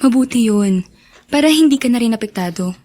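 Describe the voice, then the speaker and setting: A woman acting a gentle, concerned young friend in a Filipino radio drama, with a Filipino accent. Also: fairly high pitch, expressive, a little fast.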